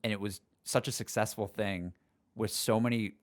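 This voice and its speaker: Low-voiced young man. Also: steady tone, moderate pace. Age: 20s. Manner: easygoing, agreeable, says "like" often. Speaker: podcast co-host. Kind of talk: casual podcast chat.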